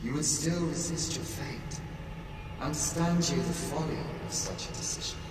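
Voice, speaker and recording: An actor playing a stern, otherworldly guardian of fate in a fantasy game, speaking in lofty, measured, theatrical archaic phrases. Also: male voice, a little high, steady tone, background noise, close to an everyday voice. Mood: stern, reproachful. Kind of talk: archaic dramatic game cutscene acting. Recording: very echoey, distant voice, loud music. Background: loud music.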